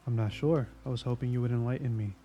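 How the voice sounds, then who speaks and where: Man acting a mellow, sardonic veteran detective. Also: medium pitch, fairly even intonation, fast talker, dull audio, close to an everyday voice. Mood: sardonic, needling. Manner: wry, needling, casual. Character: jaded, sardonic veteran male police investigator. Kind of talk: audio drama acting.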